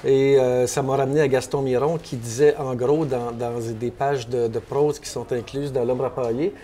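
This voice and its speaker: A man's voice: mid-range voice, steady tone, moderate pace, dark tone. A man in his fifties with a Québécois accent, a novelist guest on a book panel, talking thoughtfully with many restarts. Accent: Québécois French. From Quebec. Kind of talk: panel discussion. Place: bookstore, indoors.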